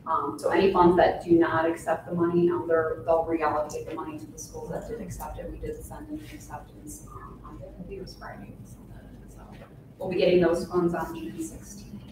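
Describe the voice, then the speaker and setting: A woman's voice: fairly low pitch, fairly quick. A woman giving a finance report at a board meeting, matter-of-fact with many fillers. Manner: matter-of-fact, many ums, reads out sums. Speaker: director of finance. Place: large meeting room with long tables. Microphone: table mic from far off. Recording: echoey boardroom with steady hum, far off.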